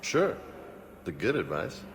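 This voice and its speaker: A man's voice: deep voice, huge pitch swings, takes it slowly, a little hiss or hum, full character voice. A man playing a cocky, eager young marine lieutenant in a video game, casual, swaggering and playful. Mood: cocky, earnest.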